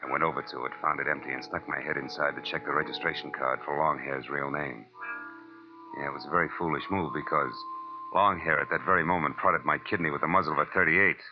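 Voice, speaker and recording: Hard-boiled private detective, deep American voice, cynical, wisecracking, old dull-sounding radio drama. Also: male voice, steady tone, fast. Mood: wry, world-weary. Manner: sardonic wisecracks, tough first-person narration. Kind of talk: 1940s radio detective acting. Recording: muffled old radio recording with music.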